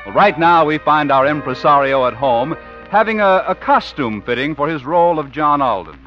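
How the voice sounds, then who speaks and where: A man's voice: fairly high pitch, lively intonation, normal speed. A man announcing and narrating a scripted 1950s American radio comedy in a theatrical, proclaiming way. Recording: muffled old radio recording. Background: music.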